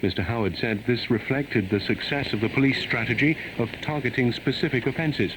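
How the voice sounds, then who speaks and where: A man's voice: medium pitch, a little fast. A man reads a formal, scripted national news bulletin, heard over shortwave radio. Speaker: radio newsreader. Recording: very noisy 1990s shortwave radio reception.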